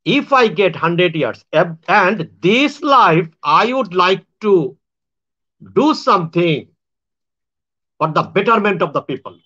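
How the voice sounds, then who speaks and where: Halting Bangladeshi man, high voice. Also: very animated, bright, forceful tone, highs cut off. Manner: halting, repetitive phrasing with frequent restarts. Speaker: astrologer and self-styled spiritual speaker. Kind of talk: monologue to viewers.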